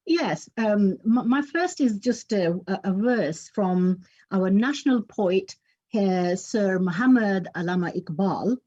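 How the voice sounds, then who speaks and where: Earnest woman poet, halting speech. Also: mid-range voice, lively intonation, warm tone, muffled recording. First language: Urdu. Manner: halting, with many restarts, earnest. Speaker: poet and teacher. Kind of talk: poetry reading and stage interview.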